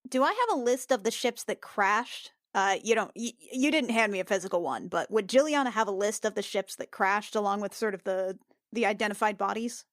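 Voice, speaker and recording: A woman takes part as a player in a tabletop game podcast. She talks casually at a middling pitch for a woman, recorded dry and close. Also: brisk, no echo.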